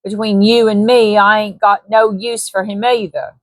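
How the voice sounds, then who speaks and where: A scheming Cockney hunter and trader, voiced by a woman reading aloud, coaxing and ungrammatical in his talk. He sounds bold and a touch high. The voice sits close and dry, with no room around it. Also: level tone, moderate pace, no echo, natural voice. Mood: wheedling. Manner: sly, persuasive, ungrammatical.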